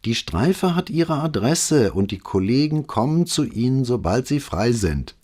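A man voicing an unbothered male police dispatcher who answers flatly. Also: medium pitch, a little slow, voice pushed up. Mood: unmoved. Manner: flat and unbothered.

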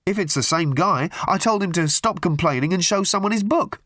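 A man's voice: sits high, animated, a little fast, a bit bright, no echo, clean studio sound, pitched above the performer's own voice. A man voicing a tired, patient young man who answers in short, resigned replies in an audiobook. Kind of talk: audiobook character voice.

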